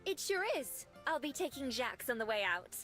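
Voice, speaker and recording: A woman's voice: a little high, big pitch swings, fairly quick. A woman voicing a friendly, bookish young woman in a visual novel, chipper and chatty. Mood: cheerful. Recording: music faintly behind the voice.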